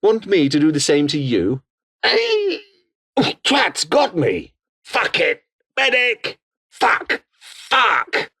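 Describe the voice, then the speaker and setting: Game role: pompous, scheming British officer, coldly ambitious, with widely swinging intonation. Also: male voice, high-pitched, wildly swooping pitch, slow, sharp tone, breathy edge, full character voice. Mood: combative, urgent. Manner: pompous, formal, disdainful. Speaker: video game voice actor. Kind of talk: scripted game character dialogue.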